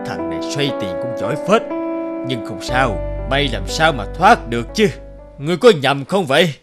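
A man voicing a cruel, vengeful village rich man in an animated folk tale, sneering and imperious. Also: mid-range voice, moderate pace, over music, close to an everyday voice. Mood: gloating.

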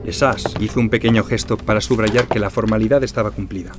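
Actor as quick, low-voiced detective narrator. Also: male voice, steady tone, music in the background, lightly acted. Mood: reflective. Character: observant male deputy detective narrating the case. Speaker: narrator and actor in an audio crime drama. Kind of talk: scripted first-person noir narration.

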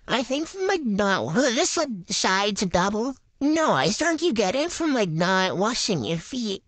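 A woman's voice: medium pitch, very animated, a little slow, no echo. A woman voicing characters in a game video with playful improvised banter, giggly and boastful, full of teen slang. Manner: giggly, silly, bragging, talks in teen slang.

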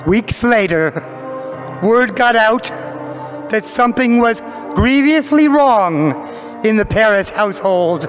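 An older man narrating a stage pantomime from a script, with dramatic, deliberate phrasing and weighty pauses. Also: high-pitched, animated, faint music behind. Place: large church hall stage before a seated audience. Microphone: lectern microphone.

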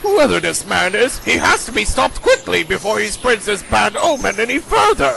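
Gravelly, high-pitched pompous villainous scientist. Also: male voice, big pitch swings, moderate pace, pushed and bright, a little hiss or hum, heavily acted. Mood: grave, calculating. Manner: pompous, theatrical. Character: blustering male scientist villain, indignant and scheming. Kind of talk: amateur radio play acting.

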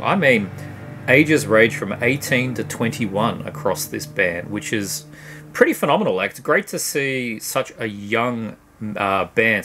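Rambling man in a shelf-lined room. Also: mid-range voice. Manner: relaxed, rambling, frequent uhs and ums. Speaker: vinyl collection vlogger. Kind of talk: casual record review chat. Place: home room lined with CD shelves. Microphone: camera at desk distance. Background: background hum, faint tape music.

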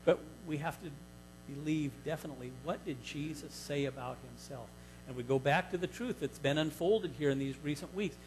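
A man in his fifties preaching a sermon in a church hall, teaching earnestly and getting louder when he reads scripture. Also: a little high, a little fast, noisy background. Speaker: pastor. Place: church hall stage, indoors.

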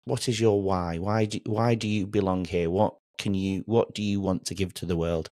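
A male podcast host in his forties with a very low voice. He talks conversationally and affirms his guest as he goes. The sound is dry and close, as from a small home studio. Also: fairly even intonation, moderate pace, mellow, no echo. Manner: encouraging, affirming. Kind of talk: warm conversational interview.

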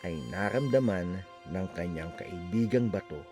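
A man reading a children's story aloud with expressive, animated narration. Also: sits low, normal speed, over music.

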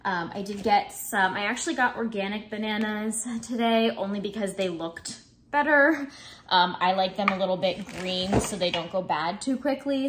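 A woman in her twenties chatting casually in her home kitchen, relaxed and full of ums and likes, filmed by a camera in front of her. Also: mid-range voice, a little hiss or hum. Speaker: vlogger. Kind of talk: casual grocery haul chat. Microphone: camera in front, close framing.

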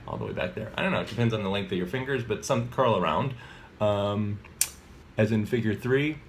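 A man in his thirties with a very low voice talks through a lesson in a loose, rambling way, stopping to correct himself and filling gaps with ums. He holds a phone at arm's length in a home kitchen, and a faint hiss sits under the sound. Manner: rambling, many fillers and self-corrections. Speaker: mallet percussion teacher. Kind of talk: casual video lesson. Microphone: phone camera at arm's length.

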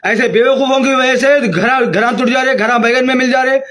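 A man's voice: extremely high pitch, moderate pace, a bit bright. A man in his fifties with a Hyderabadi accent, scolding in an agitated, repetitive angry rant to camera. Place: small room indoors.

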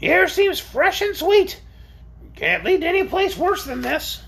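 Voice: very high-pitched, very animated, unhurried, vocal fry, a bit bright, voice pushed up, exaggerated voice. A woman voicing a vain, chatty flying creature from a storybook, nervous yet brave, in an exclamatory, self-important way. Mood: eager.

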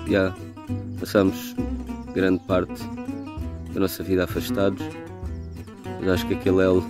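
A man in his forties with a Lisbon accent, reminiscing haltingly and reflectively in a personal video message recorded outdoors on a phone. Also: low voice. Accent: European Portuguese, Lisbon. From Lisbon, Portugal. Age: 40s. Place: outdoors in a garden. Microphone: phone at arm's length.

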